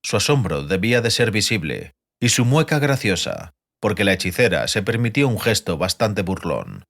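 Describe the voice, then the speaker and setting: Low-voiced male audiobook narrator. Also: big pitch swings, normal speed, a bit bright.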